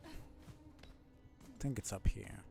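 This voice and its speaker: Mellow, low-key man streaming a game. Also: takes it slowly, soft background music. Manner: muttering, profane, low-key. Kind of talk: casual live playthrough commentary.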